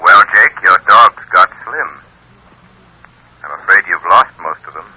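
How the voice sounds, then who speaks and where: A man's voice: low voice, animated, measured pace, bright, forceful tone, husky, dry recording, exaggerated voice, rough recording. A man playing an unseen crime fighter in an old-time radio drama, suave, taunting and unflappable. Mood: commanding. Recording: vintage radio drama recording.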